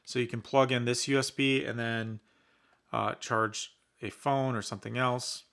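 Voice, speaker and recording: A man's voice: medium pitch, fairly even intonation, a little slow, vocal fry, fairly bright tone, clean tone. A man giving casual, conversational commentary as he reviews a product in an unboxing. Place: indoors in a basement.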